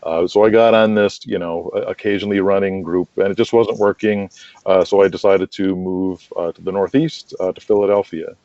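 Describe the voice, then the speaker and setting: A man's voice: sits low, fairly even intonation, moderate pace, darkish tone, no room sound, dull audio. A middle-aged American man telling rambling anecdotes casually as an interview guest. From United States. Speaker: interview guest, wrestling promoter and manager.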